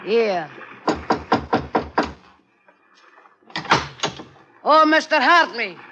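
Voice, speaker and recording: High-pitched, scheming mutinous sailor. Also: male voice, lively intonation, a little slow. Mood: pushy. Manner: coaxing, taunting, commanding. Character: murderous, goading mutinous sailor, sly and scornful. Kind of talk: old-time radio drama acting. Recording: noisy vintage radio recording.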